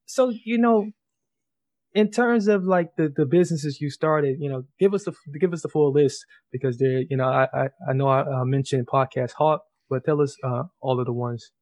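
Halting male podcast host, dry close sound. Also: on the high side, darkish tone, no echo. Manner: halting, repeats words and stumbles often. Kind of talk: conversational interview with informal guest introduction.

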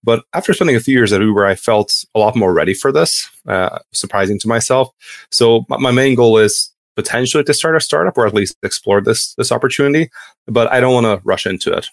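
A man in his thirties from Hungary, a podcast guest telling his story casually and haltingly, often restarting sentences. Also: medium pitch, lively intonation, vocal fry, no room sound.

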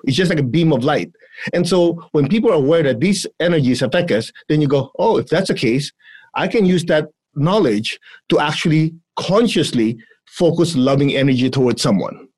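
Man, Chinese accent, husky fairly high voice, playful, guided energy exercise. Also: darkish tone. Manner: playful, laughs often, breaks into giggles. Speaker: energy healing teacher. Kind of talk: guided exercise instruction in a podcast conversation.

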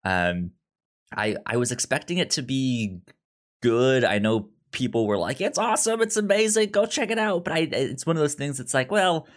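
A man's voice: mid-range voice, lively intonation, moderate pace. A man co-hosting a casual movie review podcast, speaking enthusiastically and often repeating words as he thinks. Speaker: podcast co-host.

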